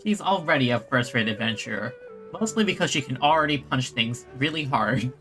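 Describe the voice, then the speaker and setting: A streamer chats casually while playing, rambling and thinking aloud with some hesitation. The voice sits in a mid-to-low range, with faint game music behind it. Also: expressive, a little fast. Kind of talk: casual gameplay livestream chat.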